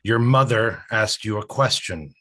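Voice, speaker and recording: A man's voice: fairly low pitch, unhurried, sharp tone. A man playing a domineering, menacing father in a staged reading of a drama, stern and confrontational. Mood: stern, demanding.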